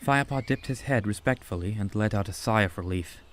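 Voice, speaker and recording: A man's voice: lowish voice, brisk, a little hiss or hum. A man reading scripted story narration as an audiobook narrator.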